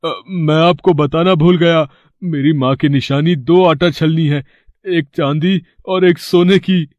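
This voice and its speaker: A man's voice: fairly high pitch, steady tone, a little slow, a little mellow, no echo, lo-fi sound, close to an everyday voice. A man voicing a greedy elder brother in a storybook tale, theatrical and falsely sweet, with whiny pleading. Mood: sly. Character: greedy, scheming elder brother faking grief.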